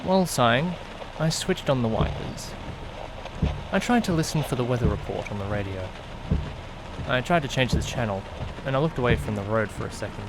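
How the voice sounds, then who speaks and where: Audiodrama narrator: man playing young male student, anxious and brooding, hesitant when speaking, medium pitch, background noise behind. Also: animated, a little fast, lightly acted. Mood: ominous, brooding. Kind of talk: first-person dramatic narration, visual novel audiodrama.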